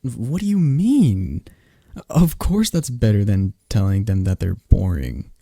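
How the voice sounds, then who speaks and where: A man's voice: lowish voice, unhurried, creaky, breathy edge, no room sound. A young man speaking in a scripted roleplay as a close friend, talking animatedly to someone the listener never hears. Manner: talks to an unheard listener, chatty and animated. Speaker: ASMR roleplay performer. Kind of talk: scripted friend roleplay, one-sided conversation.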